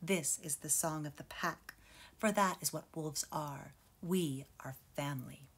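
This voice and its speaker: A woman voicing gentle wise Uncle Wolf. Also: low-pitched, slightly rough, heavily acted. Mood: calm, hushed. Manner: slow, hushed, often whispering.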